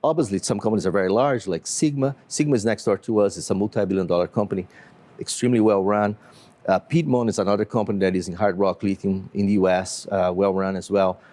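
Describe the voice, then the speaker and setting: Brazilian-accented man, low mellow voice. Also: fairly even intonation. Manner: measured, explanatory, many fillers. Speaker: company CEO. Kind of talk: business interview.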